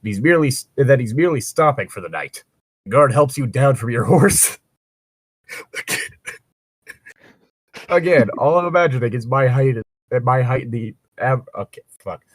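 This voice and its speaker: A man's voice: mid-range voice, animated, normal speed, pauses often, slightly rough, no room sound. A man reading a story aloud and breaking off into wry, sweary, rambling casual commentary as co-host. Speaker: co-host of a fan fiction reading show.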